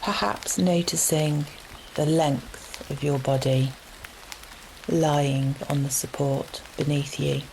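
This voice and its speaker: A woman leading a guided relaxation meditation in a gentle, soothing manner, leaving long unhurried pauses between phrases. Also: low-pitched, vocal fry, a little hiss or hum, rough recording. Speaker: yoga nidra meditation guide. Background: steady rain sounds.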